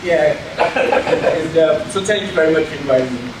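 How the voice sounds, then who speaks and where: A man's voice: fairly high pitch, moderate pace. A man introducing himself informally as a professor on a panel. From Trinidad. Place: indoor public panel event. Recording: echoey room, distant mic, very noisy.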